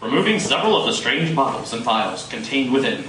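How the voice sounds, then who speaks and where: A man's voice: mid-range voice, very animated, brisk, sharp tone, a little hiss or hum. A man narrating a live radio play in a grandiose, theatrical 1940s announcer style. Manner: grandiose, dramatic. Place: live performance in a coffee house. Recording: echoey room from far off, music.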